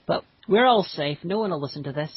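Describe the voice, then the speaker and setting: A woman's voice: on the low side, lively intonation, a little fast, husky, no echo. Woman late 20s rambling chatty commentary, dry dull sound like a call. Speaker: commentary host. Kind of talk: casual group commentary. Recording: dull lo-fi online call audio.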